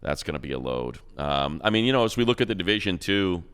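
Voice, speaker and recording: A man's voice: low voice, big pitch swings, quick pace, fairly bright tone, clean tone. A man, a radio play-by-play announcer, chatting casually about football picks, rambling with restarts and repeated words. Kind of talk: casual sports chat.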